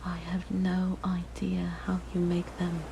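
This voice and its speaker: Siren, played by a woman: lowish female whisper, breathy, slow, gently menacing yet curious, ASMR roleplay, faint noise behind. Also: completely flat delivery. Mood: shy, fascinated. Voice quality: soft, breathy, largely whispered. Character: weak-voiced yandere siren, lonely, curious, hungry predator.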